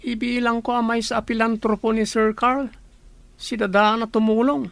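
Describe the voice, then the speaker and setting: A woman's voice: on the high side, little pitch movement, moderate pace, gravelly, no room sound. A woman acting an inquisitive, teasing younger sister who gives romantic advice in a radio comedy drama. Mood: nudging.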